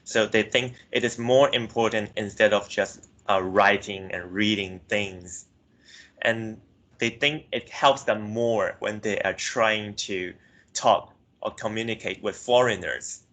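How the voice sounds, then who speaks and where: A man's voice: on the low side, a little slow, a bit bright. A young man from Myanmar giving a class presentation, hesitant, with frequent self-corrections and filler words. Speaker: student presenter.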